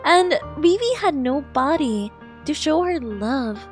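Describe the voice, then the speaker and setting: A woman's voice: high-pitched, expressive, takes it slowly. A woman game streamer giving live reaction commentary in a gushing, exclamatory, emotionally invested way. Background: game music.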